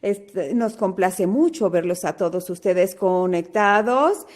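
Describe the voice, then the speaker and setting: An Argentine woman hosting a live online webinar over a video call, greeting attendees one by one in an unhurried way. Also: medium pitch. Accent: Argentine Spanish. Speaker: webinar host.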